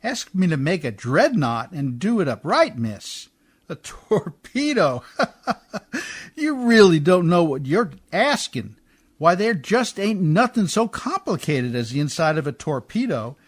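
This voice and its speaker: A man playing a folksy, rough-spoken boat mechanic in a radio drama, deferential but skeptical, with ungrammatical homespun speech. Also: a little high, sing-song, a little slow, full character voice. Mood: amused, incredulous. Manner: folksy ungrammatical speech, often adding "miss". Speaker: actor in a community radio theatre. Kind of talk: radio drama acting in an old Hollywood radio style.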